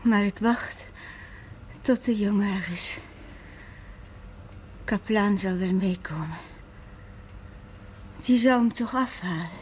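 An actress playing a gravely ill, weary and loving mother in a 1970s Dutch television drama, speaking tenderly and with resignation. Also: mid-range voice, slow pace, long pauses, lightly acted. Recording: faint noise on old TV audio.

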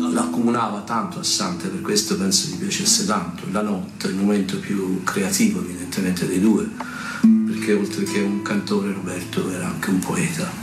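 A man with a lowish voice gives a spoken introduction at a recital. He sounds distant from the mic in an echoey space, with plucked guitar clearly behind him. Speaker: presenter at a tribute recital.

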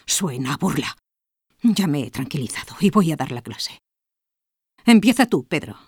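An actress playing a tense, bitter schoolteacher in a radio drama. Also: female voice, sits low, big pitch swings, normal speed, slightly rough, no echo, studio quality, full character voice. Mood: bitter, composed. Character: tense schoolteacher bitter about freedom, composing themselves for class.

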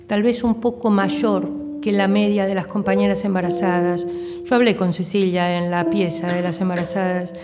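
A woman gives unscripted testimony in a mid-pitched voice. The recording is reverberant, and loud music plays underneath her. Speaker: survivor giving testimony. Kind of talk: spontaneous spoken testimony.

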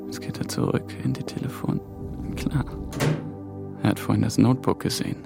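A man's voice: fairly low pitch, fairly even intonation, normal speed, creaky, over loud music. A man playing a young male EU intern on the run, talking in tense, often whispered asides in a radio crime drama. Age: young adult.

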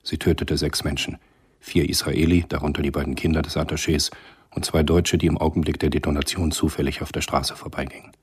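A man's voice: rumbling low, level tone, a little fast, slightly rough. A man narrating a scripted German radio drama in a sober, matter-of-fact manner.

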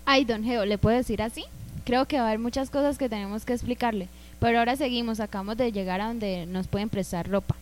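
A woman's voice: on the high side, fast, a little mellow, slight background noise, natural voice. A woman playing a chatty, insistent young woman who loves modern pop, in an amateur radio drama.

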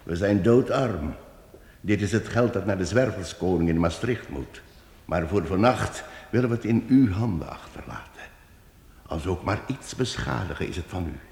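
A man voices a shrewd wandering minstrel in a radio play, laying out a plan in a measured, steady way. His voice sits very low. It sounds roomy, with a long reverb. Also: creaky. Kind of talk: radio drama acting.

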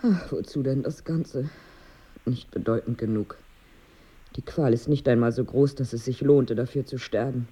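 A woman's voice: extremely low pitch, normal speed, warm tone, breathy edge. An actress playing a melancholy, bitter married woman in a radio drama, with a brooding, wry, weary manner and diction. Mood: despairing. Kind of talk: radio drama monologue and dialogue.

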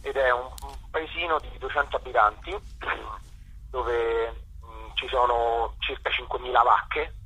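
A man interviewed as a theatre director and actor explains things casually, with a hesitant, halting delivery. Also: mid-range voice, steady tone, bright, forceful tone, husky, rough recording.

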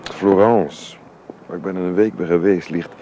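Over light background noise, a man acts out a middle-aged father in a radio play. His voice is fairly low, wistful and affectionate. He hesitates and repeats words as he reaches for what he means. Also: normal speed, mellow, natural voice. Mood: wistful, reflective. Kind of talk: naturalistic radio drama acting. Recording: noisy radio play recording.

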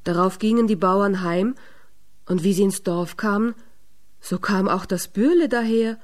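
A woman narrator reading a scripted fairy tale in a measured storytelling manner. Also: mid-range voice.

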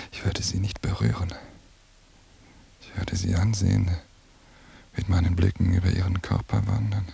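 An actor playing a brooding, seething male surveillance agent in a radio drama monologue, bitter and obsessive, with a gravelly, husky voice. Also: low-pitched, mellow, heavily acted. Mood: brooding, menacing.

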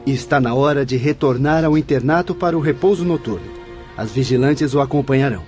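A courteous male spirit guide acted by a man in a radio drama. His mid-pitched voice is formal and welcoming, heard over clear background music. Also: a little fast, natural voice. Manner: formal, hospitable. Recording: music under the voice.

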